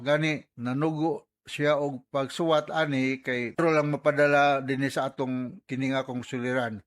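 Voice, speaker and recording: A man's voice: on the high side, fairly bright tone. A man with a Cebuano accent giving conversational advice as a radio host, with joking asides and some English mixed in.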